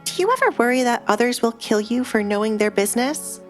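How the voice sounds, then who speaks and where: A woman voicing a quietly bold young noblewoman with a soft, measured, dry delivery in an audio drama. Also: a little high, animated, faint music behind, voice pushed up, lightly acted. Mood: amazed, curious.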